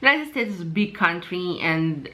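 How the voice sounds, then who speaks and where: A woman from Ukraine hosting her own channel, giving her personal opinions unscripted and pausing often to search for words. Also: on the low side, lively intonation, sharp tone. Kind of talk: unscripted personal commentary to camera.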